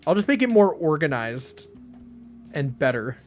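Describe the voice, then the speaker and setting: Young male game streamer, late teens, deadpan, rambling, a bit high-pitched. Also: unhurried, faint music behind. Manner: deadpan, flat delivery even when joking; rambling, many fillers and restarts. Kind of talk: casual live-stream chat.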